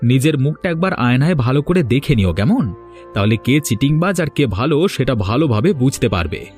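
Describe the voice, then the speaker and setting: Outspoken village vendor played by a man, lively intonation, earnest and determined, moral-story cartoon dub. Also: mid-range voice, normal speed, faint music behind, close to an everyday voice. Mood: indignant. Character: hard-up, determined, outspoken village snack seller.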